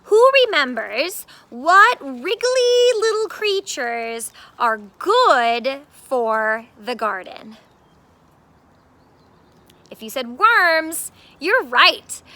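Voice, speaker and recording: A woman's voice: high-pitched, sharp tone, dry recording. A woman teacher giving children an upbeat read-aloud and gardening demonstration in simple terms.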